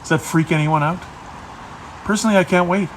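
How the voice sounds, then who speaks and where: A man's voice: high-pitched, fairly quick, slight background noise, poor audio. A man ranting in a mocking, sarcastic manner.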